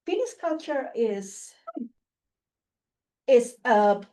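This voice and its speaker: A woman with a somewhat high voice whose English is not her first language. She gives an animated, casual talk with slides, full of fillers and quick restarts. She laughs often as she speaks to the room. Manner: chatty, many fillers and restarts. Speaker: guest speaker, faculty member.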